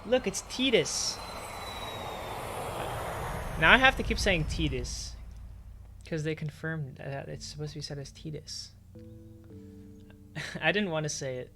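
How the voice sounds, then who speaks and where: A man's voice: a little high, a little slow, long pauses, background noise. A man giving casual streamer reaction commentary in short, amused interjections. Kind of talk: casual reaction commentary over an audio drama.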